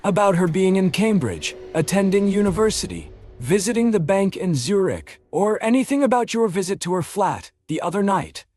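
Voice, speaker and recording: A man voicing Leo, a thickset hired enforcer who keeps a jovial tone while making quiet threats and calling people "mate", in an audiobook. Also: high voice, very animated, moderate pace, faint music behind, pitched above the performer's own voice. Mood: menacing. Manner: cheery tone over quiet threats, says "mate".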